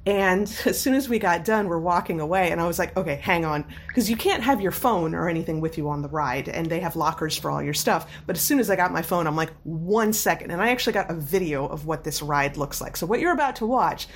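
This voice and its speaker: A woman with a slightly scratchy voice, telling personal stories in a casual, animated, laughing way. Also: fairly low pitch, brisk, few pauses. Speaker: storyteller in a chat. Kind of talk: casual anecdotal chat.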